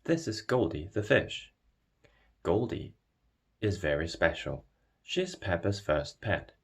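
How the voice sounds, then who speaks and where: Slow, very animated male storybook reader. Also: low-pitched, gravelly, husky. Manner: expressive. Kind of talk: scripted children's storybook narration.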